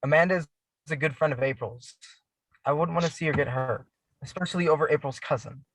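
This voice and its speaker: An actor at a table read playing a reserved, protective teenage boy who speaks in a low-key, hesitant way. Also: brisk, a bit bright, no room sound, rough recording.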